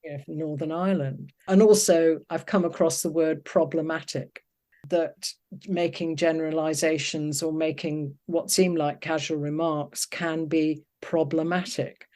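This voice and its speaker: An older woman with a low-pitched voice speaks as an interviewed poet. She is thoughtful and reflective, feeling her way through sentences with hesitations and rephrasing. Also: fairly even intonation, unhurried, darkish tone. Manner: thoughtful, hesitant, searching for words.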